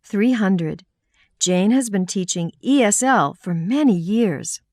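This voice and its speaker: A woman with an American accent reading numbered practice sentences for an English lesson, clear and measured. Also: mid-range voice, animated, darkish tone, dry recording, clean studio sound.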